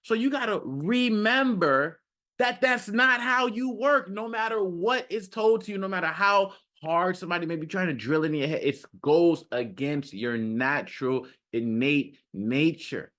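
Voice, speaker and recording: A man's voice: high voice, measured pace, a bit bright. A man speaking off the cuff like a self-improvement coach, casual and slangy, repeating phrases for emphasis and often checking in with the listener. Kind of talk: casual off-the-cuff motivational talk.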